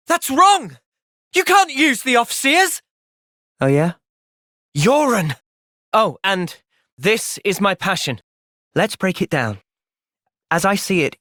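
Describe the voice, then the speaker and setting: A man voicing a thoughtful, loyal young hero in a video game, with an earnest, measured delivery. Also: high voice, sharp tone, dry recording, studio quality, heavily acted. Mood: distressed. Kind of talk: video game cutscene voice acting.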